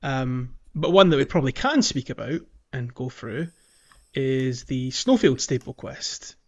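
A man's voice: mid-range voice, animated, a little slow. A man hosting a casual podcast chat, chatty and laughing often between fillers. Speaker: podcast host. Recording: dull lo-fi podcast audio.

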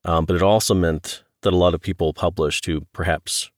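Male podcast host, middle-aged. Low-pitched, dry close-mic sound, conversational interviewer. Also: fairly even intonation, a little fast, no room sound. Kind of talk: casual interview chat.

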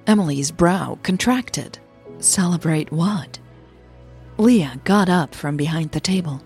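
A woman's voice: fairly low pitch, animated, a little slow, darkish tone, faint music behind. A woman reading scripted romantic fiction and podcast announcements as narrator and host. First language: Dutch.

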